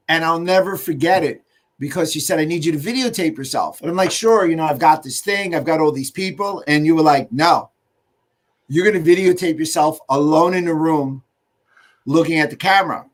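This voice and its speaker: A man's voice: fairly high pitch, moderate pace. An American man hosting a casual interview, telling anecdotes in an easy conversational way. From United States. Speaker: host.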